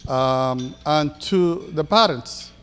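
A man in his thirties from Somalia with a Somali accent, giving a halting speech with many fillers at a ceremony, speaking into a handheld mic. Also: on the high side. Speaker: board member giving a speech. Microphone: handheld microphone. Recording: echoey hall reverb.

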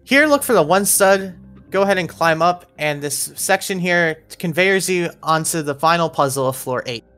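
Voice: mid-range voice, lively intonation, moderate pace, fairly bright tone. A narrator with a mid-range voice guides players through a game level. The delivery is instructional and step by step, like a scripted walkthrough. Faint music plays underneath. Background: background music.

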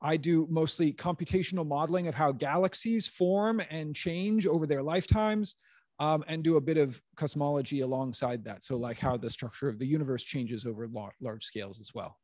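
A man in his thirties, slightly high-voiced, hosts a science panel on a handheld mic in a lecture room. He thinks aloud and often restarts sentences. The sound is dry and close. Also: fairly quick, darkish tone, no echo. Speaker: panel moderator and astronomer. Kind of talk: casual panel Q&A moderation.

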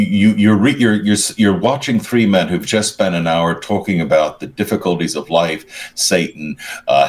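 An older man with a very low voice gives news commentary over a video call. He has a cold and talks haltingly, often stopping to search for the right word. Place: home room, video call.

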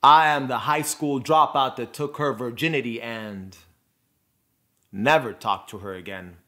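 A man performing a dramatic poetic monologue, phrasing deliberately and emphatically with pauses for effect. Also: mid-range voice, bright, forceful tone. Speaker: spoken word performer.

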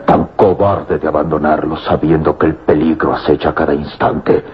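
A man's voice: very deep voice, little pitch movement, normal speed, a little hiss or hum. An actor playing a grief-stricken young Egyptian man with solemn, theatrical declamation in an old radio drama. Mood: anguished. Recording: dull old radio drama recording with music.

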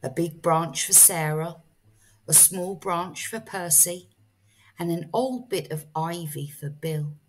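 Slow, low-voiced woman storyteller. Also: slightly rough. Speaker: storyteller and English teacher. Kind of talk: slow read-aloud storytelling for children.

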